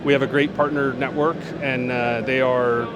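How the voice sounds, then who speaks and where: A man who works as a product manager, answering interview questions on a trade show floor in a relaxed way, with plenty of fillers and restarts. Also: mid-range voice, moderate pace, dull audio, background noise.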